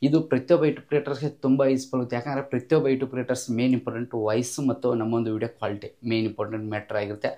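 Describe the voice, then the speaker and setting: A man's voice: medium pitch, darkish tone. A man hosting an informal step-by-step tech tutorial, explaining emphatically and repetitively with English tech words mixed in. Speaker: YouTube tech tutorial host.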